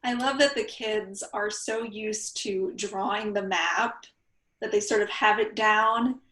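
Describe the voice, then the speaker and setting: A woman's voice: medium pitch, slow pace, sharp tone. A woman librarian hosting a virtual interview on a video call, upbeat and enthusiastic. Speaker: youth services librarian, host. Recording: echoey room on a call.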